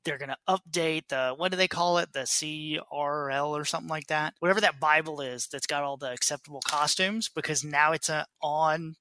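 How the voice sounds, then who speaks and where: A man hosting a podcast, chatting casually in an animated, opinionated and informal way. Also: fairly high pitch, fairly quick, hardly any pauses. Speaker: podcast host. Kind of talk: casual panel chat about a TV trailer.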